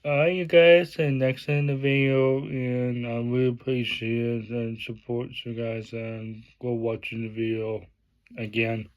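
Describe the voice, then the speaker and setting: A man unboxing things on his vlog, speaking slowly and at an unhurried pace. His voice stays flat and level, with little rise or fall, and his plain praise keeps getting broken up by fillers and restarts. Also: mid-range voice, rough recording. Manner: halting, many fillers and restarts. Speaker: vlogger.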